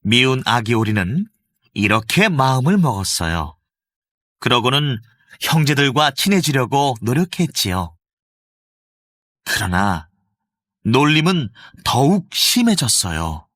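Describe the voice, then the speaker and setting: A man narrating a children's storybook with an expressive storytelling lilt. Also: medium pitch, a little slow, long pauses, no echo.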